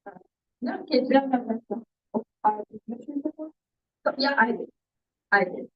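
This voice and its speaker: Woman, student presenter, hesitant Q&A, husky, dull call audio. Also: high-pitched. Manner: halting, many fillers and restarts. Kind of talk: class presentation Q&A. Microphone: video call.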